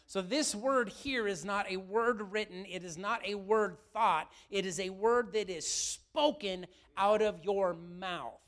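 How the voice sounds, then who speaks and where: Brief: middle-aged male pastor, high voice, slightly raspy, lively sermon that keeps asking questions. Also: a little slow, hardly any pauses. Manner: lively, asks the room questions often.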